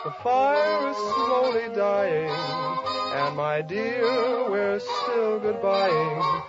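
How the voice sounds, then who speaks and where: A man's voice: high voice, lively intonation, drawn-out words, loud music behind the voice. A man singing a 1940s popular song in an old radio style. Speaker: singer. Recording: dull old radio recording. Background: musical instruments.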